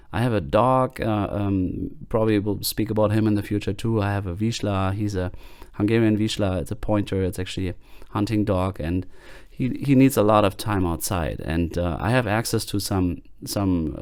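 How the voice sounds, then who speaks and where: A man's voice: on the low side, a little mellow, dry recording, clean studio sound. A man in his late thirties from Germany with a German accent, hosting his own podcast in a hesitant, casual way with many fillers and restarts. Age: late 30s. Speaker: podcast host. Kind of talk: casual solo podcast talk.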